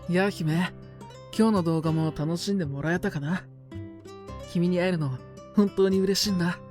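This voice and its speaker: Lady doing a smooth charming guy host, a bit low, light guitar music under it. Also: steady tone, normal speed, close to an everyday voice. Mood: sweet. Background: soft guitar music.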